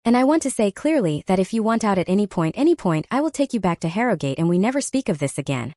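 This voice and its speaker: Protective thirtyish alpha werewolf, played by a woman narrator: earnest, plain-spoken, sincere, lively intonation, dry sound. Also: medium pitch, fast, no echo, close to an everyday voice. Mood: serious, considerate. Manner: quiet, simple, sincere.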